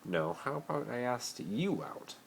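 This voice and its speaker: A man's voice: mid-range voice, sing-song, measured pace, gravelly, voice pushed up. A man voicing a spoiled, pleading, haughty teenage wizard boy in an amateur dramatic fan fiction reading. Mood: indignant. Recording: noisy amateur phone recording.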